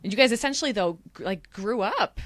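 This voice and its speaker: A woman's voice: mid-range voice, animated, a little fast. A woman hosting a podcast, asking questions in a conversational way. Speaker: podcast host. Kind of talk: interview host.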